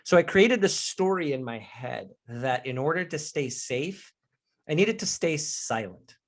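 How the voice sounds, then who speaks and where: A man's voice: fairly high pitch, expressive, unhurried. A man in his forties from Mexico, a professional speaker leading an online workshop on confidence, talking in a candid and earnest way straight to his audience. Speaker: leadership trainer and professional speaker. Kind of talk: live online workshop presentation.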